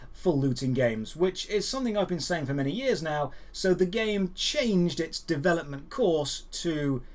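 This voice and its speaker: A man hosting a gaming and geek news show, giving dry, opinionated commentary with emphatic phrasing and rhetorical questions. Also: fairly high pitch.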